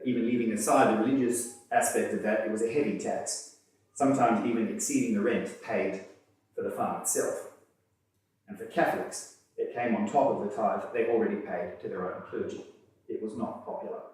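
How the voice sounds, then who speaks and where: A man's voice: fairly low pitch, steady tone, darkish tone. Echoey, distant man narrating history. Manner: measured, literary, wryly understated.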